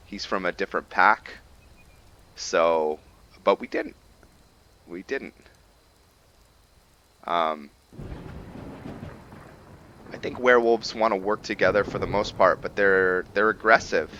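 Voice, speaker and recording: A man giving casual roleplay game commentary, thinking aloud in a halting way and trailing off mid-sentence. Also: deep voice, sharp tone, muffled recording, a little hiss or hum. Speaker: gaming video host.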